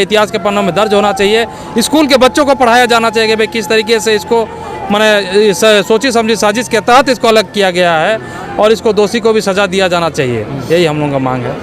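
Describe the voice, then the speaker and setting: A man with a Jharkhand accent giving an earnest, explanatory, repetitive statement in an outdoor interview. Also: sits high. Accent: eastern Indian, Jharkhand. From Jharkhand, India. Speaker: community activist interviewee.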